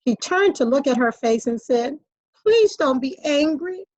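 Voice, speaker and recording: Animated higher-voiced woman reading a storybook. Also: measured pace, dry recording. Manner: expressive storytelling. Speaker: teacher reading aloud to children. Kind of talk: children's picture book read-aloud. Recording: dry, dull lo-fi audio.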